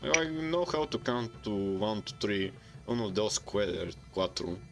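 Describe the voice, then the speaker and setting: A man's voice: fairly low pitch, lively intonation, measured pace, creaky, over music. A young man from Bulgaria with a Bulgarian accent, Bulgarian native speaker, hosting a casual podcast chat and often correcting himself mid-sentence. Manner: rambling, self-correcting, reads chat aloud. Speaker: podcast host.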